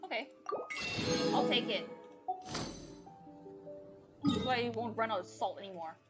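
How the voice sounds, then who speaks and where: A woman's voice: a little high, unhurried. A woman doing casual live gameplay commentary, muttering to herself and swearing offhandedly, with game music behind her. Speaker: game streamer.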